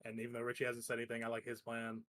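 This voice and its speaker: A man's voice: mid-range voice, monotone, rapid-fire, a bit bright, dry recording. A man chatting in character in a tabletop roleplaying game, hesitant and apologetic in manner. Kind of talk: casual roleplay chat.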